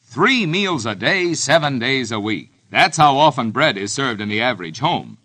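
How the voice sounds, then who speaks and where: A man with an American accent reading an old-time radio commercial in an emphatic, deliberate sales style. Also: medium pitch, sing-song, pushed and bright, soft background music. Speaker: commercial announcer. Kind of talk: 1940s-50s radio advertising read.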